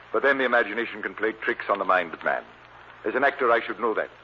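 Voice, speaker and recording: An actor playing a vain, bitter retired stage star and villain in a radio drama, with grandiose theatrical delivery. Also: male voice, lowish voice, animated, fast, lightly acted. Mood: mocking. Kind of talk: old-time radio drama acting. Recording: dull, noisy old radio recording.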